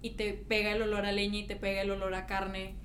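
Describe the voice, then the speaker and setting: A woman's voice: medium pitch, monotone, a little fast, a bit bright. A woman with a Venezuelan accent chatting casually as a guest on a podcast. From Venezuela, later lived in Argentina. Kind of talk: casual chat. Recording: noisy, echoey room.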